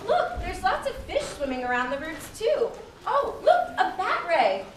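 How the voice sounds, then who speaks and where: A woman's voice: extremely high pitch, wildly swooping pitch, unhurried, full character voice. A woman playing a curious, eager young female naturalist who observes with wonder in a children's puppet show. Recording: roomy distant live recording with clear noise.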